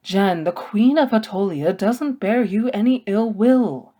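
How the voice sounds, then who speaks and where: A woman voicing a worried, protective male scholar in a storybook, with a slow, earnest, admonishing manner. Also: medium pitch, expressive, mellow, pitched above the performer's own voice. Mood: earnest pleading.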